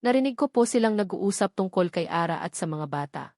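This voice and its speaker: A woman's voice: medium pitch, expressive, fairly quick, a little mellow, dry recording, studio quality, close to an everyday voice. A Filipino woman voicing a deferential, anxious household maid in a story, respectful and confiding.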